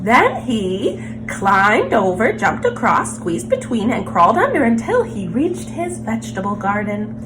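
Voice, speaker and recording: A woman reading a children's picture book aloud as a story time host, expressively and with drawn-out emphasis on words. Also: fairly high pitch, big pitch swings, over music, low-quality recording.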